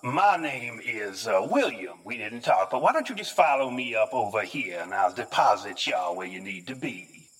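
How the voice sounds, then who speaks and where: Man putting on a husky, folksy Southern gentleman. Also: on the low side, very animated, moderate pace, few pauses, exaggerated voice. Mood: folksy, beckoning. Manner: drawling, rambling, folksy. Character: folksy, hospitable, ominous Southern man named William.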